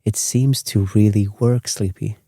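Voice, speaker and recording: A man from Sweden who speaks English with a Swedish accent as a second language, hosting a sleep podcast in a rambling, self-correcting, gently chatty way. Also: fairly low pitch, fairly even intonation, measured pace, no room sound, clean studio sound. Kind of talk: meandering bedtime talk.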